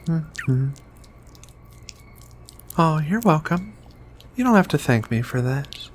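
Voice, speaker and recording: A woman playing a shy, flirty, affectionate alien in a soft-spoken, hesitant ASMR roleplay. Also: sits low, very animated, slight background noise, exaggerated voice. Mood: tender, pleased. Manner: hesitant, bashful, murmuring with many pauses. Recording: quiet music behind the voice.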